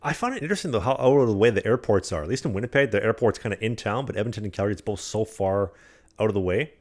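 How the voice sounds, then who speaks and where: A man's voice: on the low side, expressive, very fast. A man co-hosting a podcast, joking and laughing through casual chat. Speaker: podcast co-host. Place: apartment recording studio.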